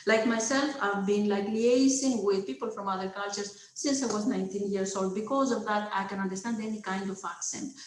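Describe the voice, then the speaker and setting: A woman's voice: medium pitch, fairly quick, hardly any pauses, echoey room, a little hiss or hum, rough recording. A woman with a Greek accent giving an online lecture over a video call, hesitant, with many filler sounds, checking in with her listeners. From Greece.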